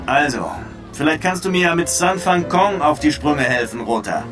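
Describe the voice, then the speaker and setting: An actor playing a detective casually coaxing a friend for help in a radio drama. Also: male voice, a little high, animated, moderate pace, distant mic, over music, natural voice. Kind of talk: German radio drama acting.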